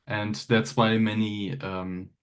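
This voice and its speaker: A man's voice: fairly low pitch, flat delivery, slow, smooth. A man giving his view casually as a panelist in a technical discussion.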